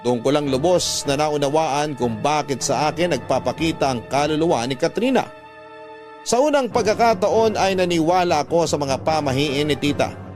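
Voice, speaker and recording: Male actor for first-person radio drama narration: reflective, earnest, lightly high-pitched, music underneath. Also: natural voice. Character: reflective male letter sender recounting his past.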